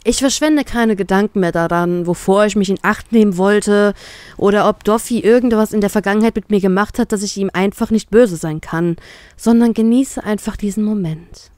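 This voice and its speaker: A woman's voice: mid-range voice, normal speed, a little mellow, no room sound. A woman narrating a scripted first-person story as an audiobook. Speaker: narrator of a fan fiction story.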